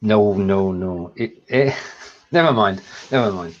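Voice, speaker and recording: A man's voice: on the low side, takes it slowly, lo-fi sound. A man with a Lancashire accent co-hosting a casual live-stream chat, playful and jokey, stumbling over his restarts. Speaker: co-host.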